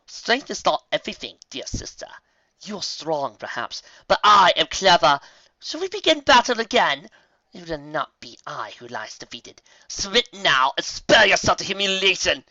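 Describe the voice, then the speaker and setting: A man voicing a cruel, clever dark alicorn mare who taunts in a hissing, drawn-out way, in a storybook reading. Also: high-pitched, big pitch swings, no room sound, highs cut off, voice pushed up, exaggerated voice. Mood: menacing. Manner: hissing, taunting, slow and cold.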